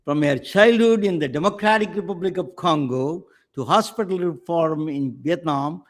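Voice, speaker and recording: Halting Indian-accented male host. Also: on the high side. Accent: Indian English. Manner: halting, with many restarts. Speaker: webcast host. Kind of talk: hosting an interview.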